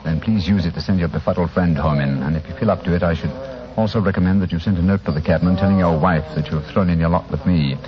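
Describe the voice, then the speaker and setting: A man playing a commanding English gentleman detective with crisp, formal manners in a 1940s radio drama. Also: sits low, quick pace, crowd noise, lightly acted. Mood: brisk, secretive. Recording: dull old radio with background murmur.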